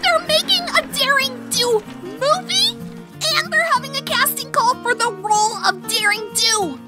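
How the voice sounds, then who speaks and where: A brash, boastful young female pegasus pony , played by a woman. Her voice is pitched very high. She speaks with cocky confidence. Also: moderate pace, loud music behind the voice.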